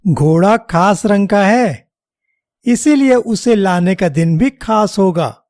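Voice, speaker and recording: Man doing a loyal clever cartoon minister, slow n respectful, dry close sound. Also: high-pitched, expressive, darkish tone, no echo. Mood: sly, polite. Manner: polite, measured, deferential. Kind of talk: animated children's moral story dialogue.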